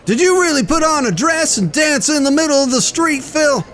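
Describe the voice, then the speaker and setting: A jovial frontier marshal, played by a man, pitched very high for a male voice. He talks in folksy, salty cowboy phrases, as in a Western audio drama. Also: animated, fairly quick, background noise. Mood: jovial, teasing. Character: jovial, foul-mouthed frontier US marshal, old frenemy.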